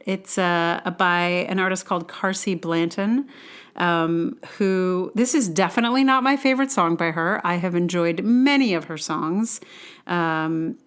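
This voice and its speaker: A woman hosting a podcast, chatting casually and informally, hesitating and interrupting herself as she goes. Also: medium pitch. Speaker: podcast host.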